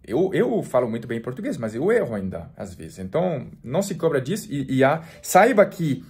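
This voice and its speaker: A man in his forties from France, speaking Portuguese with a French accent in a relaxed, chatty vlog to camera, full of restarts and fillers. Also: mid-range voice, normal speed. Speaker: French teacher and vlogger. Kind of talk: casual talk to camera.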